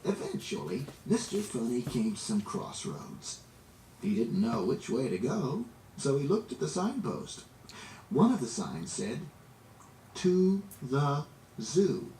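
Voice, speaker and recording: A man reading a children's story aloud in an expressive, dramatic way, drawing out words for emphasis. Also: medium pitch. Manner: animated, dramatic, drawn-out emphasis. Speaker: storybook reader. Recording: roomy, distant, noisy amateur recording.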